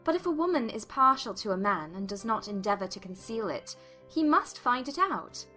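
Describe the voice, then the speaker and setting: Woman as spirited young period gentlewoman for audiobook drama: high, bright, earnest, formal, over music. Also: sing-song, moderate pace. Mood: firm objection. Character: lively, principled young gentlewoman, quick to object.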